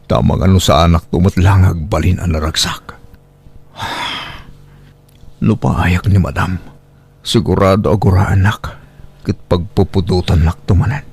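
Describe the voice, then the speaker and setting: An actor with an Ilocano accent playing a troubled, pleading single father in a radio drama, with halting delivery. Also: deep voice, fairly even intonation, creaky, a little mellow, husky, heavily acted. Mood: torn, resolute. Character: troubled, pleading young single father, devoted to his child.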